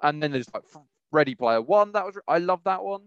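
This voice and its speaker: A man with a slightly high voice chats casually and with strong opinions. He rambles and repeats phrases for emphasis. The close, dry recording sounds a little dull and muffled. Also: expressive, a little fast, clear, resonant tone, no echo. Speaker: podcast host. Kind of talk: casual opinionated chat about films.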